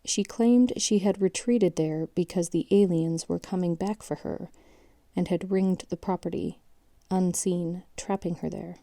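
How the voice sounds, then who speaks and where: Audio drama narrator actress: female, very dark lowish tone, dry sound, measured and factual. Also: fairly even intonation, no room sound. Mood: detached, sober. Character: detached female narrator reading grim case histories. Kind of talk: scripted documentary-like narration.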